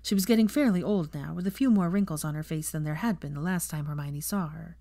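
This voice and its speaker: A woman's voice: low-pitched, quick pace, mellow, dry recording. A woman narrating a scripted story as an audiobook reader.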